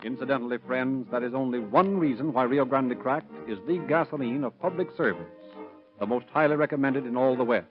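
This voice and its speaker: A man's voice: medium pitch, fairly quick. A man announcing and narrating in formal, emphatic 1930s radio style on a muffled old recording. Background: music.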